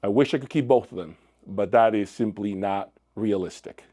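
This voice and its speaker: A man hosting a review channel, talking to his viewers in an emphatic, deliberate way with dramatic pauses. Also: lowish voice. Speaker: host of an audio review channel. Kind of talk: product review to camera.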